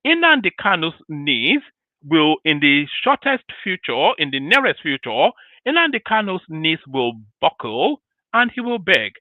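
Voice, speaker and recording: A male host delivers opinionated commentary in an emphatic, rhetorical way, circling back to the same phrases. His voice sits a little high and swings widely in pitch. The sound is dry and close, with no room echo. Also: big pitch swings, measured pace, phone-quality sound.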